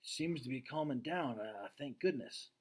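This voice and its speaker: A man's voice: medium pitch, animated, a little slow, slightly rough. A man voicing a tired, dismissive father in a storybook read-aloud, weary and offhand.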